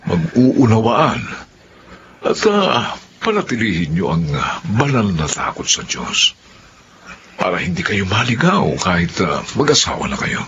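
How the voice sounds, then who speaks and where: A man's voice: on the low side, wildly swooping pitch, measured pace. A man playing a fatherly older retired soldier who chuckles with his grown children in a radio drama. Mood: fond. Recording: dull lo-fi audio, hissy noise.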